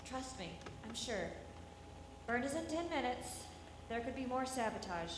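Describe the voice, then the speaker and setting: A woman playing a brisk, bossy spacewalker in a live radio drama, clipped and commanding. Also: a little high, sing-song. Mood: worried. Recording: echoey hall, long reverb, faint noise.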